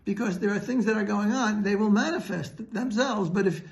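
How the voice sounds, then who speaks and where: Male rabbi, high creaky voice, earnest halting teacher delivering an informal Torah lesson. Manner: earnest, didactic, halting mid-sentence. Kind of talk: religious teaching, unscripted explanatory talk.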